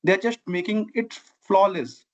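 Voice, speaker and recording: A man's voice: high voice, a little slow. A middle-aged man from Bihar, a native Maithili speaker with an Indian accent, earnestly telling his own story as an interview guest. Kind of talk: conversational personal storytelling. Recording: dull, lo-fi audio.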